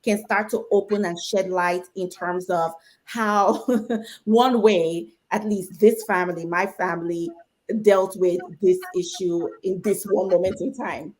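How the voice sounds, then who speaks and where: A woman's voice: fairly low pitch, fairly even intonation, unhurried, darkish tone, rough recording. A middle-aged woman from Nigeria, a doctor on a webinar panel, earnestly telling a personal story.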